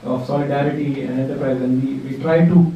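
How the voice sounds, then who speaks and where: A man speaking at a workshop discussion, pausing often with fillers and restarts. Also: medium pitch, steady tone, muffled recording. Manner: hesitant, many fillers and restarts. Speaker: workshop participant. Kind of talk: spoken contribution in a group discussion. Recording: distant mic in an echoey room with faint noise.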